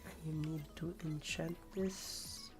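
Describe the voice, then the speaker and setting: A young man with a raspy voice, streaming a game and rambling casually with many fillers and restarts. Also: a little high, steady tone, slow pace, music in the background. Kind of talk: casual chat while playing a game.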